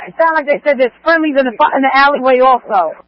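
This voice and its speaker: A man's voice: very high voice, fast, dry recording, muffled recording. A man working as a police radio dispatcher, relaying and repeating orders to units over a scanner.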